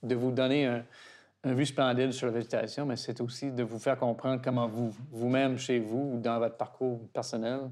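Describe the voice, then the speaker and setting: English-canadian guy talking french, kinda flat delivery, stops and restarts a lot, middle-aged. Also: medium pitch. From Toronto, Canada. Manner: hesitant, halting, frequent restarts. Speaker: garden director, interview guest. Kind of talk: casual interview conversation.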